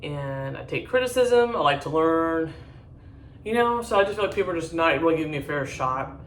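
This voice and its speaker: Deep-voiced woman rambling bluntly. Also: expressive, a little fast, far from the mic, slight background noise. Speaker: vlogger. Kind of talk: casual chat to camera. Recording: echoey room, voice a bit off-mic.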